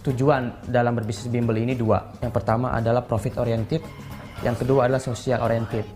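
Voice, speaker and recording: A man's voice: mid-range voice, little pitch movement, brisk. A twenty-year-old man, explaining in an informal interview style and often tagging phrases with a casual filler. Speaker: young entrepreneur being interviewed. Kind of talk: interview talk, explaining his business. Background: guitar music.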